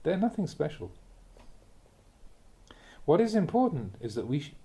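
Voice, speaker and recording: A man reading a Buddhist teaching aloud in measured, deliberate short phrases, in the manner of a spoken audiobook. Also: fairly high pitch, big pitch swings, mellow. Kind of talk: read-aloud dharma talk, audiobook narration.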